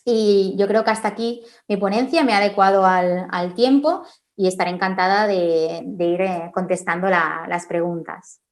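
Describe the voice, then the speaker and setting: A woman forensic linguist from Spain, answering questions at a conference in an explanatory way, often tagging her sentences and filling pauses. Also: mid-range voice, fairly even intonation. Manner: explanatory, frequent "¿no?" tags and "eh" fillers.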